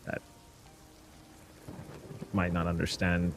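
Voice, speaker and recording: A man player describing his character's actions in a tabletop game, halting with fillers. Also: deep voice, completely flat delivery, slight background noise.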